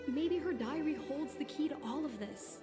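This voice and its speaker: Woman acting high-pitched eager young girl over music. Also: moderate pace, lightly acted. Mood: pleading. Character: eager, pleading young girl curious about her mother's secrets. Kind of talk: game voice acting. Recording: game music behind.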